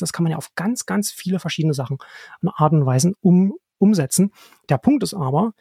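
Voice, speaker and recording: A man's voice: a little high, mellow, husky, dry recording, clean studio sound. A man, talking as a guest on a podcast, thinking aloud with many restarts and "ne?" tag questions. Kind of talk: casual podcast conversation.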